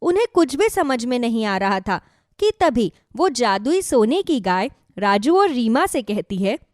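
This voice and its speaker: A woman's voice: on the high side, sing-song, a little slow, no room sound, studio quality. A woman narrating a scripted children's moral story as a storyteller.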